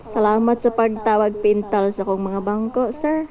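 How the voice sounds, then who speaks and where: A woman's voice: medium pitch, moderate pace, darkish tone. A Filipino woman giving short, casual, playful replies over a helmet intercom. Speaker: helper testing an intercom. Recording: muffled noisy intercom audio.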